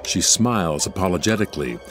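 A man's voice: low-pitched, expressive, a little fast. A man acting as the narrator of an audio drama, reading stage directions as scripted dramatic narration. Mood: solemn, suspenseful. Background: music behind the narration.

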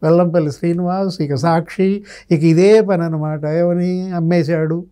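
Casting: male pundit in his fifties, high-set but soft-edged voice, storytelling delivery. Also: steady tone, moderate pace, clean tone. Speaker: senior journalist, guest analyst. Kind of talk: conversational political commentary. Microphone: lapel mic.

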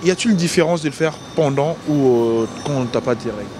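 A man's voice: a little high, fairly quick, background noise. A young man hosting a casual street interview, teasing and laughing as he prods passers-by with questions into a handheld mic outdoors. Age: young adult. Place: busy city street outdoors. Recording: street crowd behind.

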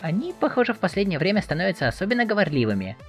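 A woman's voice: mid-range voice, racing, soft background music, natural voice. A woman playing an eager, naive teenage boy, chatty and inquisitive, in a dramatic webcomic reading. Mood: puzzled. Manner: excitable, inquisitive, expressive.

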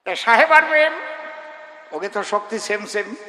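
A man's voice: high voice, lively intonation, a little slow, sharp tone. An older man with a rural Bengali accent telling comic folk stories live on stage, earthy and teasing, addressing his audience directly. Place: live performance before a gathering. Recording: echoey live stage sound, faint noise.

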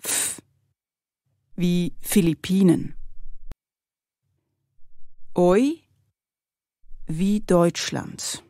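A woman's voice: on the low side, very slow, long pauses, mellow, no echo, studio quality. A woman reading German drill sentences and spelling sounds for learners, carefully articulated. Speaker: language course speaker. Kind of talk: slow scripted language drill.

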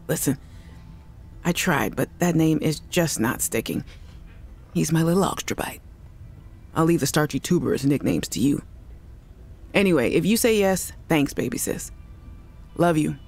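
A woman's voice: deep voice, creaky, a little mellow, breathy edge, exaggerated voice. A woman voicing an upbeat young woman recording chatty, giggly audio messages to her little sister in a game. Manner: cheerful, chatty, giggly, affectionate. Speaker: game character in audio logs. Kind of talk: voiced game audio log.

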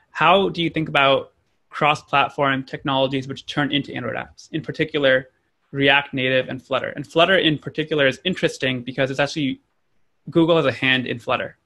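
A young man hosts a panel on a video call and asks pointed questions. His voice is gravelly yet bright and sharp. He speaks into a desk microphone. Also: mid-range voice. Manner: inquisitive, poses questions. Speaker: host. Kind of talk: moderating a panel.

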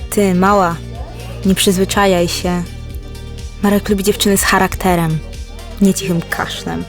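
A woman's voice: mid-range voice, flat delivery, measured pace, soft background music, close to an everyday voice. A young actress playing a sneering, territorial teenage girl in an audio drama. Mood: scornful. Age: teens to young adult. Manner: mocking.

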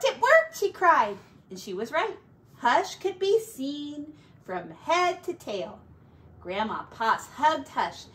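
A woman reads a children's story aloud like a teacher, in a high and unhurried voice. She leans into dramatic words and adds small asides for her listeners. She sounds a little way from the mic. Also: a bit bright. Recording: slightly distant mic, faint background noise.

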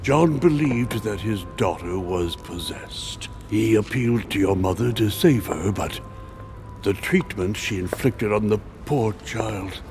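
An actor playing a solemn, measured middle-aged statesman in a video game. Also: lowish voice, expressive. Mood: grave. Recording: game audio with music behind.

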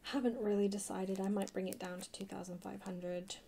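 A soft-spoken woman chatting casually in a rambling way for an ASMR haul video. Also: medium pitch, level tone, fairly quick. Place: indoors at a table, hands-only camera. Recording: clear background hiss or noise.

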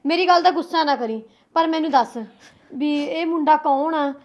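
A worried middle-aged mother speaks, voiced by a woman. Her voice sits high, and she swings scolding to gentle coaxing as she tries to keep her family together. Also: moderate pace, dull audio, lightly acted. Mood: soothing. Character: worried middle-aged mother caught between son and daughter-in-law.

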